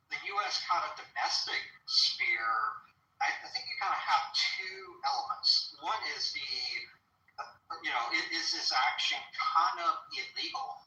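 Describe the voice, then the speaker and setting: A young man talks from his car while driving, hedging and restarting as he sorts out his thoughts. His pitch swings widely and his tone is very bright, and the sound is echoey and set back from the mic. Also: big pitch swings, far from the mic. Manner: halting, many fillers and restarts, hedging. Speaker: panel guest. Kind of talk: casual political discussion.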